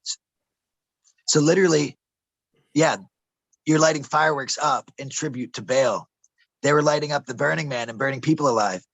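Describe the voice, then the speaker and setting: Gravelly man telling wild stories. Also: a little high, normal speed, long pauses, fairly bright tone, no room sound, low-quality recording. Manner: conspiratorial, says bro and man, trips over words. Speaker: interviewee, traveler telling his stories. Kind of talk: casual rambling storytelling. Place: outdoors in a desert camp.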